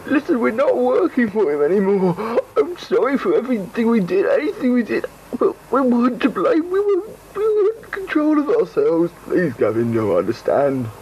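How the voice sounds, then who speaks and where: Low, earnest, begging voices of former henchmen, desperate to be believed, as one performer puts them on. A homemade radio play, lively in pitch, with faint hiss. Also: moderate pace, few pauses, low-quality recording. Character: pleading freed henchmen, once controlled.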